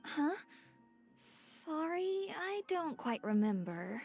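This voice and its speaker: A woman's voice: high-pitched, big pitch swings, takes it slowly, a little mellow, heavily acted. A woman playing an earnest, hopeful, studious young scholar in a video game. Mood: puzzled. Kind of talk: scripted game character dialogue. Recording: faint music behind.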